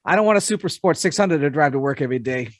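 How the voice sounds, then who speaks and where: A man's voice: on the high side, expressive, racing, background noise. A man from New Jersey with a local accent, chatting casually and reading aloud on a podcast. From New Jersey, USA. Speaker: podcast panelist.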